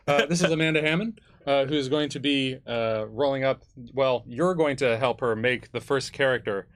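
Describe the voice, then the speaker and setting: A man with a mid-pitched voice hosts a live game stream. He speaks informally, as if chatting with a guest and the viewers at once. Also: animated, moderate pace, fairly bright tone. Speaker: stream host. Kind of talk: casual live stream hosting, chat.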